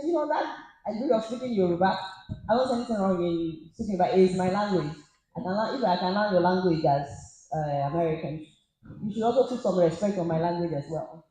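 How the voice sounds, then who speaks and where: A woman, speaking as a guest on a stage panel in an animated, laughing, storytelling way. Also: on the low side, slight background noise. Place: large church auditorium. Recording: echoey hall.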